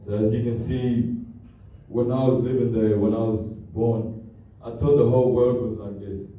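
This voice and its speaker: A young man born in Kenya and raised in Australia tells his family's refugee story as a halting, plainspoken presentation. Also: medium pitch, fairly even intonation, dark tone. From born in Kenya to South Sudanese parents, raised in Australia. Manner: halting, restarts often, plainspoken. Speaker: student presenter. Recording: very echoey hall from far off.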